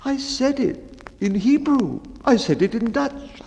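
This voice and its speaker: A man voicing a timid, mournful baker in a dramatic poetry reading, with a tearful, drawn-out lament. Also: extremely high pitch, big pitch swings, gravelly, dark tone, big-room sound, pitched above the performer's own voice, exaggerated voice. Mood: anguished.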